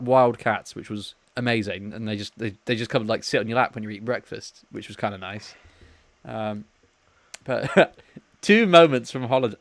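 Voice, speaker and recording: Young man, mid pitch, dry close sound, rambling anecdotes with laughs. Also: dry recording. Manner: rambling, laughs through his stories. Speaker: podcast co-host. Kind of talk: casual storytelling chat.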